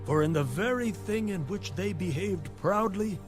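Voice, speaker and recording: An actor playing an earnest elder priest in an audio Bible drama, with a measured, solemn, declamatory manner. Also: male voice, high voice, expressive. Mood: solemn praise. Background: music.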